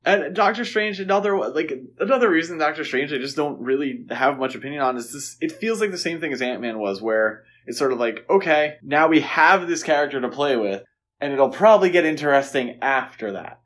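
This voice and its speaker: A man's voice: on the high side, lively intonation, a little fast, a bit bright. A man co-hosting a podcast, talking casually about films in a conversational way. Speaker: podcast co-host. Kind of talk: casual film discussion.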